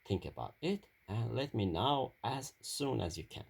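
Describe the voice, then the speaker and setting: A thoughtful female gym coach from a children's storybook, read by a man. The delivery is firm and matter-of-fact, in a gravelly, husky voice. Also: medium pitch, lively intonation, unhurried, full character voice. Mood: understanding, firm. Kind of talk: children's storybook character reading.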